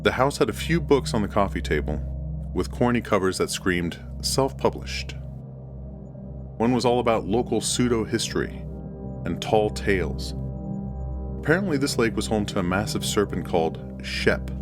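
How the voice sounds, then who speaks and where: Male horror narrator, very low register, deliberate first-person storytelling, clear music bed. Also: expressive.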